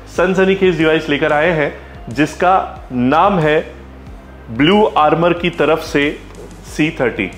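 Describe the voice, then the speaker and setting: A man in his thirties with an Indian accent presents a product review to viewers, explaining directly and checking in with short tags. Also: on the high side. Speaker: host, motorcycle gear store vlogger. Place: small helmet shop, indoors. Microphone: clip-on lapel mic. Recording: roomy echo, clear background noise.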